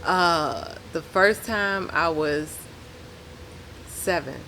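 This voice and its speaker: A woman's voice: fairly low pitch, drawn-out words, bright, forceful tone, slight background noise, rough recording. A woman from New Orleans with the local accent, talking casually and easily as an interview guest. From New Orleans, Louisiana. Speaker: podcast guest, rapper.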